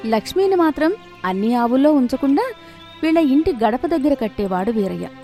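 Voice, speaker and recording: A woman's voice: sits high, sing-song, brisk, mellow. A woman narrating a scripted moral story. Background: faint background music.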